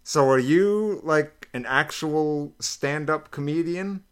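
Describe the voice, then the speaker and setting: A man interviewing a guest in a relaxed, friendly way, stammering a little as he talks. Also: fairly high pitch, slow, clean tone. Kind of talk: casual interview.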